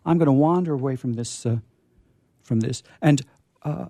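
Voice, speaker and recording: A man's voice: medium pitch, normal speed, gravelly, warm tone, dry recording, studio quality. A man hosting a radio show in casual, rambling chat, hesitating and repeating himself as he goes.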